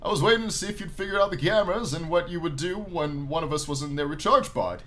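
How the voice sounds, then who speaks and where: A man voicing a smooth, self-assured, flirty female animatronic bear manager in a visual novel. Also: on the high side, quick pace, pushed and bright. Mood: assured, inviting.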